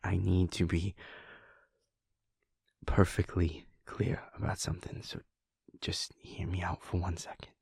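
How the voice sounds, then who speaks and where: A caring werewolf boyfriend, played by a man, speaks in a soft, half-whispered audio roleplay. His voice is deep and very husky, with a gravelly edge. He takes his time, slow and close in a dry, dead room. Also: level tone, no echo, heavily acted. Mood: earnest. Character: caring young adult male werewolf boyfriend.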